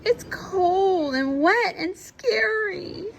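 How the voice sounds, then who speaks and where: Woman voicing an anxious, high little marmot girl. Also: lively intonation, extremely slow, vocal fry, background noise, exaggerated voice. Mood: worried. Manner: fretful, pleading exclamations. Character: anxious young female marmot in a children's storybook.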